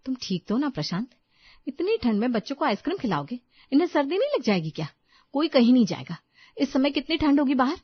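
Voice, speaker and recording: A woman voicing an anxious, fussing, caring young daughter-in-law in an animated family story, fretful and insistent. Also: high voice, quick pace, slightly rough, muffled recording, full character voice. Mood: alarmed.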